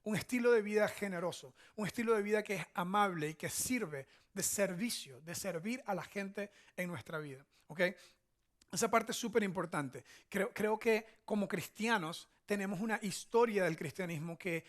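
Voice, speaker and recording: A man's voice: high voice, moderate pace. A man with a Venezuelan accent giving a conversational teaching sermon as a pastor, often checking in with short tag questions. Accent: Venezuelan Spanish. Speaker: church pastor, preacher.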